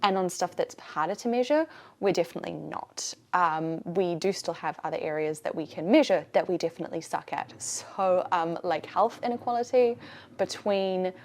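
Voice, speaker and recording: A young woman with a New Zealand accent, talking casually to camera in a lively way, often restarting her sentences. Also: lowish voice, moderate pace. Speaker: vlogger. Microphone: camera mic.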